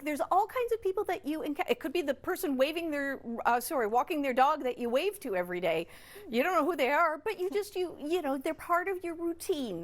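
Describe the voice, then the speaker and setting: Casting: woman, Canadian accent, notably high voice, chatty expert guest who explains through animated everyday examples. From Newfoundland and Labrador, Canada. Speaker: psychologist, regular TV guest expert. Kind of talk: conversational interview explanation.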